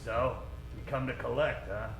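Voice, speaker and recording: A man's voice: mid-range voice, fairly even intonation, moderate pace, gravelly, noisy background, close to an everyday voice. An actor playing a wisecracking, easily flustered private detective in a parody of old-time radio drama, talking in tough-guy slang. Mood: wry, tough. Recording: roomy live stage recording with music.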